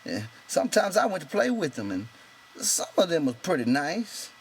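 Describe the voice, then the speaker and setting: Man acting a high-pitched, bitter, insistent stable hand. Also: sing-song, normal speed, slight background noise. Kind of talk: character voice in an audiobook reading.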